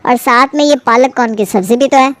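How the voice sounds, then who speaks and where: A woman's voice: a little high, fairly quick, fairly bright tone, no echo, close to an everyday voice. A woman voicing a sensible adult elder brother in a cartoon family story, reasoning and mildly chiding. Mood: approving. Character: adult elder brother, sensible husband defending his wife's healthy cooking.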